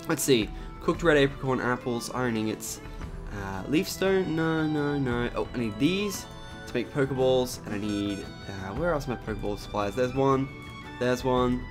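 A young man with an Australian accent, his voice raspy from just waking up, chatting casually over gameplay with lots of fillers. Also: mid-range voice. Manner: chatty, rambling with many "like" and "um" fillers. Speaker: gaming YouTuber.

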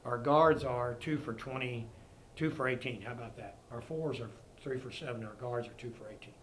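A man's voice: mid-range voice, normal speed, a bit bright. A middle-aged man speaks as a basketball coach at a postgame press conference, seated before a fixed camera. He talks frankly and plainly in a mid-range voice, restarting and repeating words as he goes. A faint hiss sits under him. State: disappointed. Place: press conference room before a sponsor backdrop.

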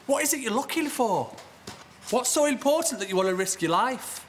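A man's voice: very high-pitched, expressive, normal speed, slight background noise. An actor playing an exasperated male warehouse worker in a naturalistic training drama, scolding a colleague insistently. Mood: exasperated, alarmed.

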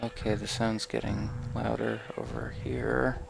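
Male gamer, low and creaky register, wry, uneasy horror playthrough commentary. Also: level tone, a little slow, noisy background. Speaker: let's play gamer. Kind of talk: casual horror game commentary, some reading aloud.

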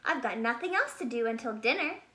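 A woman acts out a little boy bear cub from a picture book, stubborn and full of importance. The voice is bright and swings up and down as he states his case with childlike firmness. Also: on the high side, expressive, brisk. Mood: impatient, curious. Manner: firm, self-important, childlike.

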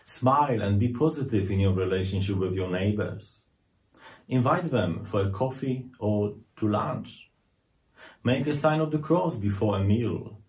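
A middle-aged male priest gives a homily in a fairly low voice. He speaks very slowly and deliberately, with an earnest, measured delivery, as if reading a prepared sermon to camera. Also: lively intonation, a little mellow.

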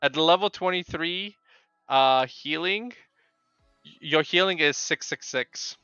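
Casting: Polish-accented man, game streamer, halting chatty delivery, dry but dull lo-fi sound, music behind. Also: on the high side, bright, forceful tone, clean tone, no echo. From Poland. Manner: halting, repeats words. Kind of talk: casual live chat with viewers while gaming. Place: small home room with bookshelves.

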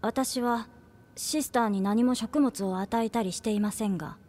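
A woman voicing a formal, literal-minded android maid who speaks in a flat, deadpan way in an anime audio drama. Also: fairly high pitch, moderate pace, natural voice.

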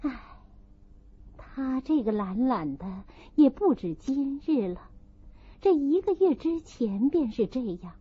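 A woman's voice: on the high side, slightly rough, muffled recording, heavily acted. A woman voicing a discreet, worried young chambermaid who confides, in an audiobook.

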